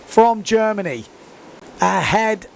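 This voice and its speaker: A man giving live sports commentary, excitedly calling out scores and time left on the clock. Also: sits high, slow pace, vocal fry.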